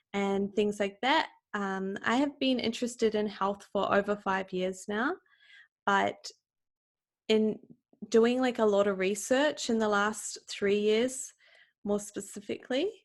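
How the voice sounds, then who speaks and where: A young woman with a New Zealand accent introduces her own health podcast. She talks in a bright, gushing, chatty way, dropping the odd "um" and "like" as she goes. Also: medium pitch. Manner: upbeat, gushing, uses fillers like "um" and "like". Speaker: podcast host. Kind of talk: casual solo podcast intro.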